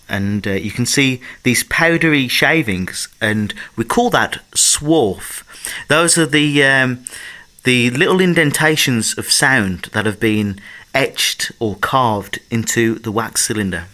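A man in his thirties with a medium-pitched voice presents a demonstration. He explains things in an informal video made indoors in a house. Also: bright, forceful tone. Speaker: presenter demonstrating antique recording.

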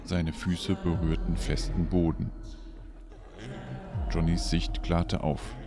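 A man playing the grave storyteller of a radio play, narrating in a dramatic, deliberate way with a gravelly voice. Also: low-pitched, little pitch movement, music in the background. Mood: ominous. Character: grave, ominous male storyteller.

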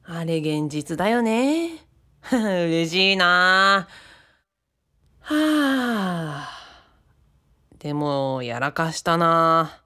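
A woman playing a polite, earnest and easily flustered young man in an audio drama, in an eager, expressive way. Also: fairly low pitch, drawn-out words, long pauses, heavily acted. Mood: sheepish. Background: background music.

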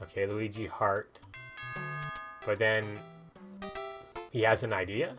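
A man's voice: on the low side, steady tone, takes it slowly. A man hosting a casual let's play, joking and rambling as he thinks aloud. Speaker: host of gaming videos. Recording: video game music behind the voice. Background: video game music.

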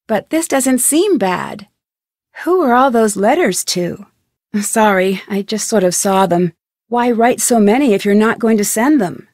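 A woman's voice: mid-range voice, animated, unhurried, darkish tone, dry recording, clean studio sound. A young American woman voicing an inquisitive, polite and determined amateur detective in an adventure video game, with an earnest, questioning delivery. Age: young adult. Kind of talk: scripted adventure game voice acting.

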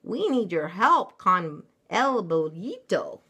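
A woman's voice: on the low side, very animated, slow pace, pushed and bright, slightly rough, pitched above the performer's own voice, heavily acted. A woman voicing a tiny, fearful chihuahua sidekick from a storybook, panting and nervous. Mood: anxious.

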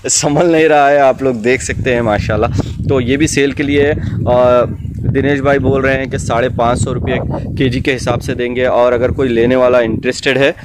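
A man's voice: mid-range voice, steady tone, moderate pace. A man hosting a casual on-site livestock vlog, politely chatting and explaining to viewers. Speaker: host and interviewer. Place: open-air fenced goat farm yard. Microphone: handheld camera or phone mic. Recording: clear outdoor noise, animal sounds.

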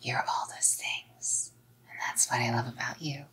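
A young woman playing a doting, controlling psycho girlfriend in a hushed, coaxing ASMR role-play. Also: extremely low pitch, huge pitch swings, measured pace, creaky, slightly rough, exaggerated voice, rough recording. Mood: possessive, coaxing. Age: young adult. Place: small dim indoor room.

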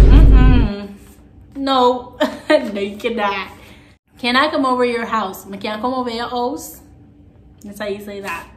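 A woman's voice: fairly high pitch, a little hiss or hum. Sassy young Jamaican woman in an echoey room. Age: young adult. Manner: chatty, sassy asides. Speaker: vlogger. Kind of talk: casual chat to camera. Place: small room at home, on a couch.

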